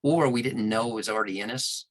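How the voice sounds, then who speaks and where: A man's voice: mid-range voice, a little fast. A man talking conversationally as an interview guest, explaining his craft in an enthusiastic, expansive and emphatic manner. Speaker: interview guest, audiobook narrator and producer.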